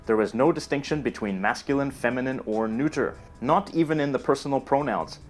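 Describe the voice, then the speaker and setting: A man giving scripted educational narration in a steady, explanatory delivery. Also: mid-range voice, brisk. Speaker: narrator of a language explainer video. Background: faint music.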